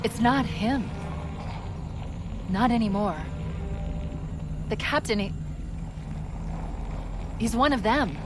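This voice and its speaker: A female ship AI in a sci-fi game, brisk and expressive. She sounds urgent and caring with a wry edge, under loud dramatic music. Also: on the high side. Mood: somber. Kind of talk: video game cutscene voice acting.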